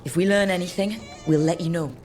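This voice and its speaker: An actress playing a confident, wry adventurer in a video game, with a direct manner. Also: female voice, lowish voice, a little fast, a little mellow, faint music behind, natural voice. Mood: firm, determined. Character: confident, wry adventuring woman, determined and teasing. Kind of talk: video game character acting.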